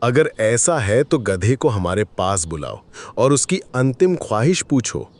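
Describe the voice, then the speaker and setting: Slow, imperious lion king voiced by a man. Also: mid-range voice, sing-song, a little mellow. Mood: commanding. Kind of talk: Hindi animated fable dialogue.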